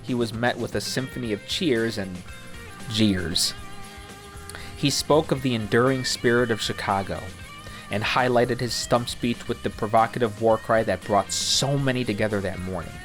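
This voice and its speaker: A man's voice: fairly low pitch, normal speed, a bit bright. A man reading scripted documentary-style narration in a wry, literary manner. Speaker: narrator of a protest account. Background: music, guitar.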